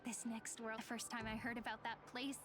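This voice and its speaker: A gentle, hopeful girl guide from a fantasy game, acted by a woman. She explains things patiently in a high, polite, softly playful voice, with music underneath. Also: level tone, normal speed, close to an everyday voice. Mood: storytelling. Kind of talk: scripted video game voice acting.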